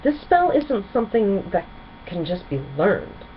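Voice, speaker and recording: Woman voicing a gentle, lively storybook pony princess. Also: medium pitch, unhurried, muffled recording, a little hiss or hum. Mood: gentle, instructive. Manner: storybook dialogue, gentle and reassuring. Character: gentle, reassuring pony princess mentor, young adult female.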